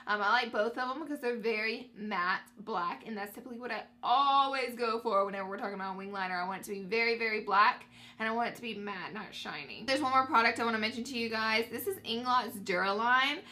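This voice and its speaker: A woman's voice: a little high, fast, pushed and bright. A young woman with a stuffy nose from allergies, chatting upbeat through a makeup tutorial for her viewers. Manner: upbeat, addresses viewers as guys. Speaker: beauty vlogger.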